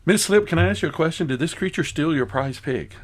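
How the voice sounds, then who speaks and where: A man's voice: on the high side, lively intonation, fast, crowd noise. A man playing reporter in an improvised comedy interview, putting skeptical, teasing questions to an eyewitness.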